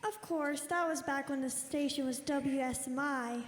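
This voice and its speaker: A woman's voice: high voice, normal speed, close to an everyday voice. A woman playing a blunt, wry veteran crew member backstage at a radio show. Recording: echoey hall, some noise, clicks.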